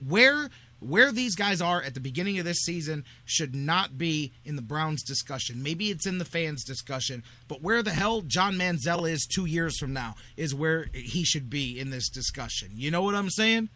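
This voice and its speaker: American sports talk host guy, bright punchy voice a little high, blunt and opinionated, talks real casual. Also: lively intonation, normal speed. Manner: forceful, blunt, colloquial.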